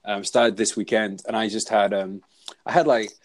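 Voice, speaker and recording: Relaxed Welsh man, low voice. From Wales. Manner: relaxed, self-deprecating, halting restarts. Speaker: guest, soldier and author. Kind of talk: casual interview chat.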